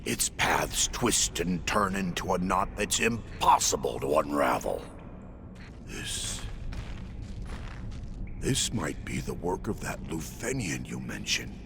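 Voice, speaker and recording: A man's voice: rumbling low, big pitch swings, slow, gravelly, a bit bright, a little hiss or hum, heavily acted. An actor playing a boastful, theatrical wandering swordsman in a video game, with grandiose archaic speech and sudden outbursts. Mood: grave, brooding. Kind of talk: video game cutscene acting.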